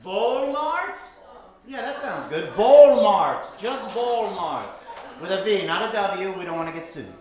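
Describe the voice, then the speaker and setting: A man hosts live improv comedy on stage, playfully working the audience. His voice rings through a very echoey theater, with a clearly audible crowd around him. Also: sits high, sing-song, measured pace. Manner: playful, repeats audience shouts back. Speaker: improv comedy show host.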